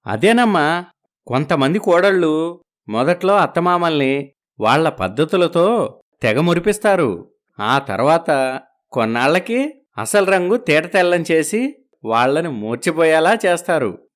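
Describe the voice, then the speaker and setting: A man's voice: on the high side, very animated, measured pace, long pauses. Man playing a wry, teasing father-in-law. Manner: wry, probing, gently teasing. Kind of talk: storybook dialogue.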